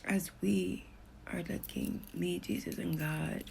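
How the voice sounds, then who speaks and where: Middle-aged woman, whispery testimony, low pitch, rambling. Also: steady tone, slow, gravelly, slight background noise. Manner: whispery, hushed delivery; rambling, repeats herself. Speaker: storyteller. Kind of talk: personal spiritual testimony.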